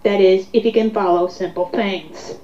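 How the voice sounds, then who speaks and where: A woman's voice: medium pitch, fairly even intonation, normal speed, mellow. A woman co-hosting a casual commentary, reading online comments aloud and remarking on them. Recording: roomy, dull lo-fi home recording.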